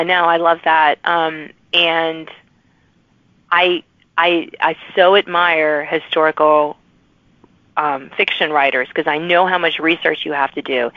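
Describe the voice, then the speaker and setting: Female podcast host, slightly low register, informal and chatty, conversational delivery, mildly dulled audio. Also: no room sound, lo-fi sound. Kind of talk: casual conversational interview.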